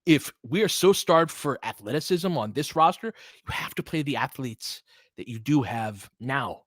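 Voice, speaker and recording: A man's voice: mid-range voice, expressive, moderate pace, a bit bright, dry recording. A man doing casual live basketball play-by-play and analysis, conversational and openly rooting for his team with exclamations on big plays.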